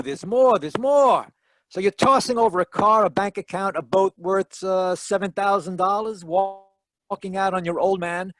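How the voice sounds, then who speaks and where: A man's voice: high voice, a bit bright, no echo, poor audio. A man playing a wry, tough private detective in a 1940s radio style, dryly wisecracking, recorded over a video call. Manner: wisecracking, dry. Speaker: actor narrating and playing lead detective. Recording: live video call performance.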